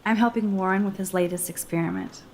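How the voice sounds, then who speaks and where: Earnest young female student, acted by a woman, quietly caring, game drama, noticeable background noise. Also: medium pitch, fast talker, close to an everyday voice. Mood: casual, friendly. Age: young adult. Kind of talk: naturalistic video game acting.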